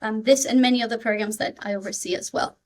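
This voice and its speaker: A woman's voice: a little high, fairly even intonation, quick pace. A woman from Peru, a Spanish speaker with a slight Peruvian accent, speaking earnestly as a guest on a podcast interview with frequent ums. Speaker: school district supervisor, guest.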